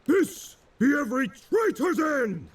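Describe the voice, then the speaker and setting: A man's voice: extremely high pitch, big pitch swings, slow, voice pushed up, heavily acted. A man voicing a proud, wrathful male admiral in a dramatic verse story, roaring and imperious. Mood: grim triumph. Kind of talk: dramatic poetry recital.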